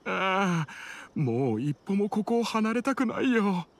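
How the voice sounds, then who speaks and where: A man's voice: high voice, sing-song, unhurried, slight background noise, exaggerated voice. A man playing a frightened, cautious villager in an anime video game, explaining things earnestly. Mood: shaken, relieved. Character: frightened young male villager, robbed and cautious. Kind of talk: Japanese anime game voice acting. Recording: background music under voice.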